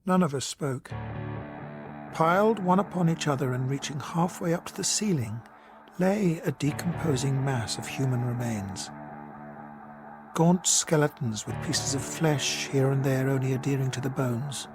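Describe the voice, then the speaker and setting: An actor narrating a gothic horror tale in a solemn, literary manner. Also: male voice, medium pitch, unhurried, lightly acted. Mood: grim, solemn. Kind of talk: dramatic scripted narration. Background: music.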